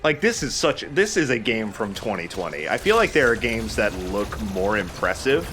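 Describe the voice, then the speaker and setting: Male let's play host. Fairly low voice, conversational and explanatory, slight noise behind him. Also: very animated, moderate pace. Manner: chatty, explanatory, casual swearing. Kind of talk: casual gameplay commentary.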